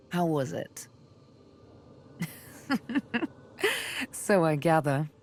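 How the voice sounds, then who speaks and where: An actress voicing a seductive, menacing elven assassin woman in a fantasy game, intimate and teasing, with breathy, deliberate delivery. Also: fairly low pitch, slight background noise. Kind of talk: dramatic fantasy game voice acting.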